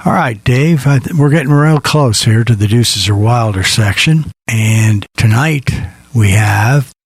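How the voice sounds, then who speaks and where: A man's voice: medium pitch, unhurried, breathy edge, no echo. A man hosting a music radio show in a casual, rambling, folksy style with asides to his co-hosts.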